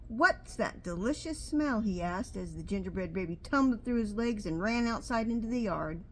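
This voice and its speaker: A woman's voice: medium pitch, fairly quick, slight background noise. An older woman, a grandmother reading a storybook aloud to a child in a homely, chatty way. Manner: homely, chatty, pointing things out.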